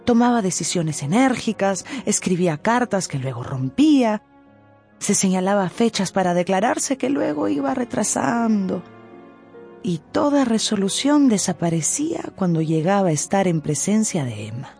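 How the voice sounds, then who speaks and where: A woman giving scripted, expressive narration for a radio novel. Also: mid-range voice, moderate pace, creaky, soft background music. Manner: expressive storytelling. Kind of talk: scripted dramatized audiobook narration.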